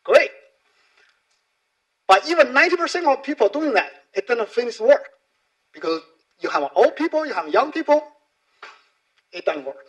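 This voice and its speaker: High-pitched, animated Chinese-accented man presenting. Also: normal speed, long pauses, low-quality recording. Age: middle-aged. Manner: non-native English with frequent tag questions. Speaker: conference speaker. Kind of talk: conference presentation, informal and explanatory.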